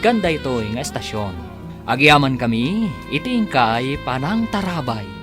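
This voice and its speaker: A man announcing and narrating a scripted radio drama in a dramatic, measured manner. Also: medium pitch, very animated. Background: music.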